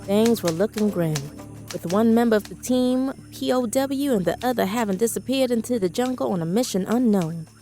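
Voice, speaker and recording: Woman playing a feisty, higher-voiced adventure heroine. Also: normal speed, dark tone, lightly acted. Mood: storytelling. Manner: decisive, wry. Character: feisty, dry-witted adventurous film-star heroine, adult woman. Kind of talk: pulp adventure audio drama acting with narration. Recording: music behind the voice.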